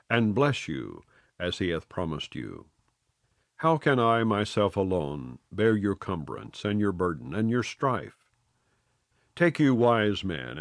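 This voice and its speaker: A man reads scripture in slow, solemn phrases, each line set apart. His voice sits fairly low but moves widely in pitch. The sound is close and dry, with no room around it. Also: no echo. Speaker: Bible reader.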